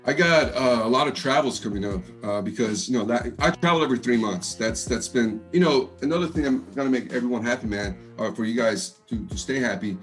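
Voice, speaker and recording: Deep-voiced Texan man, fast talker. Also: soft background music. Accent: East Coast US tinge over Texan. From Texas. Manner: fast-talking, laid-back, laughs often, says man a lot. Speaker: rapper interviewee. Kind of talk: casual interview chat.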